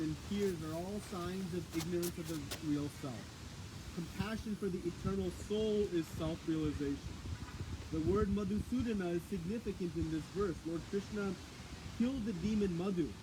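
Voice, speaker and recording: Man, high voice, scripture class, outdoor microphone, loud wind noise. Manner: conversational, asks the audience questions. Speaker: spiritual teacher. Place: outdoors, windy. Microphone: battery microphone, cutting in and out.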